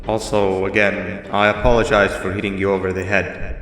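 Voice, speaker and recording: A low polite game monster, apologetic, kinda hesitant, echoey with music behind. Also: male voice, level tone, moderate pace, fairly bright tone. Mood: apologetic, uneasy. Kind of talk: video game character dialogue.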